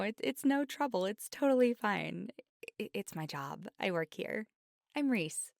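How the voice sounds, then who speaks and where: A woman's voice: on the high side, creaky, darkish tone, no echo, studio quality. A young woman playing a friendly, easily flustered college bookshop clerk in a chatty ASMR roleplay. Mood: cheerful, helpful. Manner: chatty, stammering when flustered. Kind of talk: ASMR roleplay, scripted one-sided conversation.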